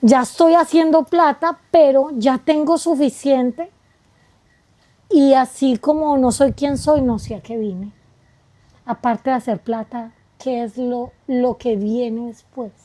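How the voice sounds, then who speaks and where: A woman's voice: high-pitched, steady tone, warm tone, poor audio. A woman, talking reflectively as a guest, spiritual in tone and tagging rhetorical questions onto her points. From Colombia. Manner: spiritual, musing, quick asides with rhetorical questions. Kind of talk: reflective conversation.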